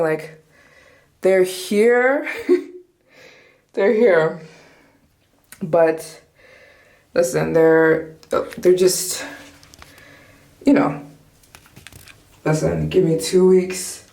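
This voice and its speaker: A woman's voice: fairly low pitch, a little mellow. A woman in her twenties vlogging casually to camera, bubbly and joking, laughing often and jumping between topics. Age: 20s. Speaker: travel vlogger.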